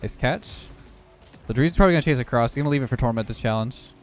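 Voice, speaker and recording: Hoarse man calmly commentating his gameplay. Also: medium pitch, rapid-fire, faint music behind. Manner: relaxed running commentary on his own plays. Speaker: gaming YouTuber.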